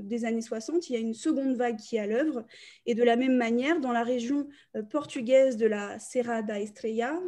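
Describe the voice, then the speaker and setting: A young woman presents her research at an academic seminar, reading from prepared notes and stumbling into frequent hesitations. Her voice sits somewhat high, with a mellow, warm tone. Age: young adult.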